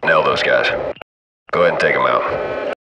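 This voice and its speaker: Deep-voiced male gunship crewman for shooter game: casual, cocky, slangy radio chatter, very loud cabin noise. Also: normal speed, far from the mic, lo-fi sound. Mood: cool, focused. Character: laid-back, cocky adult male military gunship crewman.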